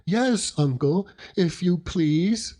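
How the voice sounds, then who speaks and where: Man putting on a gentle, slow-spoken young woman. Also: sits high, animated, pitched above the performer's own voice. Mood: shy, happy. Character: bashful young working-class woman, gentle and affectionate.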